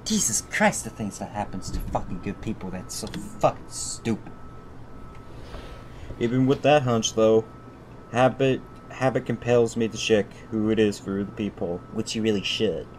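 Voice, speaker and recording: A man's voice: lowish voice, normal speed. Irritable, sweary male game streamer, noisy background. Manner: irritated, profane ranting. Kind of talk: livestream playthrough, reading visual novel text aloud with commentary. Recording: steady background noise.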